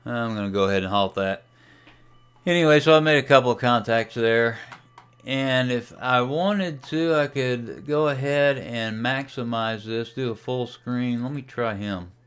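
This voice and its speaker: A man's voice: mid-range voice, a little slow, a bit bright, smooth. A man giving a casual, unscripted screen-share tutorial as a radio hobbyist, thinking aloud as he operates. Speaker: amateur radio hobbyist demonstrating software.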